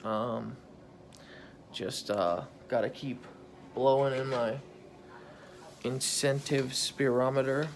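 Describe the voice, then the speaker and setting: Hoarse, gravelly man in a hospital bed. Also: steady tone, slow pace, long pauses, background noise. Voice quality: gravelly, croaky. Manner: tired, low-key, relieved. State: hoarse after intubation. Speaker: patient recording a video diary. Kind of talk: casual video journal. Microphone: phone held at arm's length.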